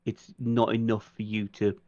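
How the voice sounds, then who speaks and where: A man's voice: fairly low pitch, completely flat delivery, normal speed, darkish tone, dull audio. A man acting as game master, explaining and narrating a tabletop roleplay, making sure his players follow. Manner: explanatory, checks listeners follow.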